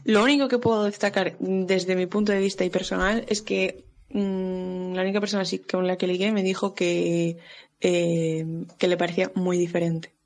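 Female guest, rather low and flat, casual hesitant storytelling. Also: normal speed. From Spain. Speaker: guest giving a recorded testimony. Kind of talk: casual personal anecdote.

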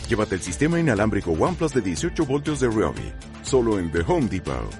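A man's voice: fairly low pitch, very animated, a little fast. A man reading scripted advertising narration as a commercial announcer. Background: music.

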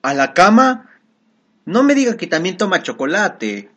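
Casting: male actor as coarse country bumpkin, slangy and exclamatory, higher-pitched and very lively, comic radio play. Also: measured pace, heavily acted. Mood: incredulous, scornful. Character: coarse, harsh country bumpkin mule buyer, easily astonished.

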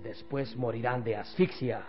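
An actor playing a cold, scheming villain in an old radio serial, theatrical and menacing. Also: male voice, mid-range voice, steady tone, moderate pace, muffled recording, faint music behind, natural voice. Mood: gloating, menacing. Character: gloating, scheming male villain, cold and ruthless.

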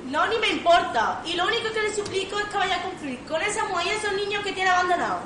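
A woman's voice: very high voice, lively intonation, quick pace. A woman playing a proud young lady, haughty and cutting, in an amateur radio farce. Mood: cool, suspicious. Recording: echoey, noisy amateur recording.

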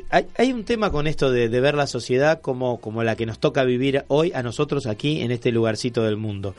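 A man's voice: medium pitch, expressive, brisk, a little mellow. A man from Argentina with an Argentine accent hosting a casual radio chat, repeating phrases for emphasis. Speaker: radio magazine host.

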